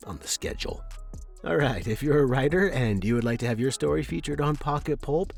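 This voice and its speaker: A man's voice: lowish voice, a little mellow. Offhand male podcast host over music. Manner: self-deprecating, offhand. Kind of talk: casual podcast outro.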